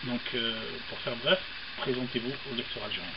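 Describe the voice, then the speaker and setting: A man interviewing a TV presenter for a website, asking questions with flattering remarks and repeated words. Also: mid-range voice, brisk. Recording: dull lo-fi sound with loud background noise.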